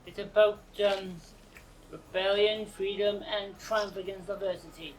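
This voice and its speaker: A man in his thirties giving halting, unscripted interview answers outdoors. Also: high-pitched, flat delivery, slight background noise. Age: 30s. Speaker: actor. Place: outdoors on a sea front. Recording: distant mic, music behind.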